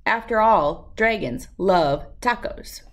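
A woman in her thirties reading a children's storybook aloud with expressive, emphatic delivery and dramatic pauses. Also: medium pitch. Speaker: read-aloud host.

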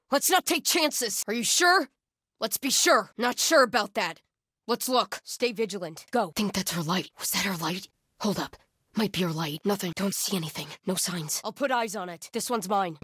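A woman playing a hardened, vigilant cult soldier in a video game, speaking in terse, clipped callouts with a husky voice. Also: high-pitched, sharp tone, dry recording, studio quality. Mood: determined, then focused. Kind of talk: video game combat voice lines.

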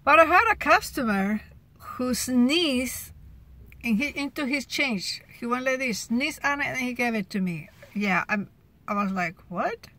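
A woman's voice: sits high, animated, unhurried, bright, forceful tone. Chatty woman vlogging from her car. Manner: chatty, restarts often, laughs at gross moments. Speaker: cashier sharing her workday. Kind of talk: casual car vlog chat. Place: inside a parked car. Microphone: phone camera at arm's length.

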